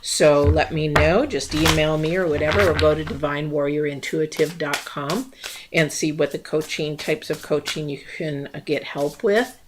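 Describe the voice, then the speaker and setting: A woman from the United States giving a casual tarot reading, rambling with many fillers and restarts, her voice a little worn and going hoarse. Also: low voice, fairly even intonation, moderate pace, noisy background. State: losing her voice. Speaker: tarot reader. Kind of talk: casual spiritual reading to camera.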